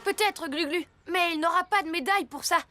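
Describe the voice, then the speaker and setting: A woman's voice: extremely high pitch, fairly quick, pushed and bright. A woman voicing a sensible, plucky six-year-old girl superhero in a French cartoon dub. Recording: music underneath.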